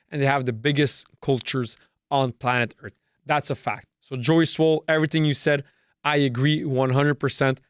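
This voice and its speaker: A man's voice: medium pitch, clean tone, dry recording, clean studio sound. A man from Canada whose first language is Serbo-Croatian hosts a solo podcast, delivering a profane, repetitive and emphatic rant. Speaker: podcast host.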